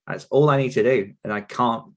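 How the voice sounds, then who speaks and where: A man's voice: mid-range voice, fairly quick, lo-fi sound. A British man in his thirties talking casually and reflectively as an interview guest, wandering as he tells his stories. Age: 30s. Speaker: podcast guest, author.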